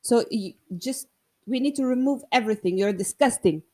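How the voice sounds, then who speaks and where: A woman's voice: on the high side, normal speed, dark tone, natural voice. Woman quoting a blunt female doctor, Portuguese accent.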